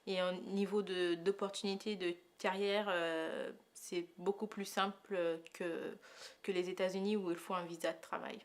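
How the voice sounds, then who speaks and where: A thirty-year-old woman from Paris gives a spontaneous personal testimonial, pausing often as she thinks aloud in a hesitant way. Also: lowish voice, little pitch movement. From Paris, France. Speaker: testimonial interviewee.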